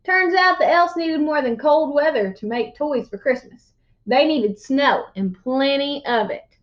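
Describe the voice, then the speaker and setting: Woman as a folksy old storyteller man, high-voiced. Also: lo-fi sound. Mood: folksy, earnest. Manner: folksy, emphatic, drawn-out exaggeration. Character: crotchety old country man spinning tall tales. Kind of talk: storybook read-aloud character voice.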